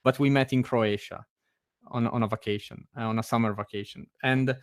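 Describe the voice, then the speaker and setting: Italian-accented man, smooth dry voice. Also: medium pitch, fairly even intonation, a little fast, dry recording. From Italy. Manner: hesitant, many fillers and restarts; laughs often. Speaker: meetup guest. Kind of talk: casual chat.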